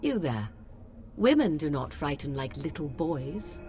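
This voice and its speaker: A haughty young witch of the wilds in a fantasy game. She speaks slowly in arch, archaic phrases, with a mocking, theatrical lilt that rises and falls widely. Faint music plays under the voice. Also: low voice, very animated, mellow. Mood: scornful. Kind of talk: fantasy video game acting.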